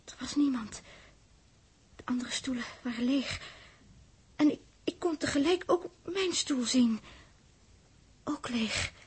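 An actress playing an anxious, easily upset young space crew woman in a 1960s radio play, speaking haltingly and emotionally. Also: high-pitched, animated, a little mellow, slightly rough, heavily acted. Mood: haunted, hushed. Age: young adult. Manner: hesitant, emotional, halting with repeated words.

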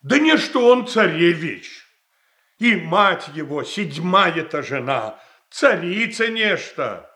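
An actor playing a blunt, grumbling courtier in a radio tragedy, folksy, stubborn and sneering. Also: male voice, high voice, very animated, slow pace, bright, forceful tone, echoey room, full character voice. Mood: scornful. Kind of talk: Russian stage tragedy as radio play.

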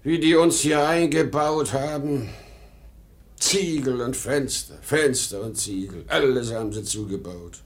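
A man's voice: medium pitch, a little slow, natural voice. A man playing an irritable, nostalgic, weary ageing salesman and father in a 1950s German radio drama, swinging between indignant outbursts and brooding murmurs. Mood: irritable, complaining.

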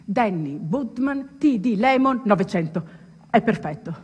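A woman acting out an excitable, rough-mouthed old sailor in a stage monologue, with emphatic, drawn-out exclamations. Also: mid-range voice. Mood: proud, delighted. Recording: echoey reverberant hall, music underneath.

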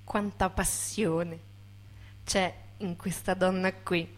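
A woman's voice: fairly low pitch, steady tone, slow. A woman speaking as she introduces a guest to listeners.

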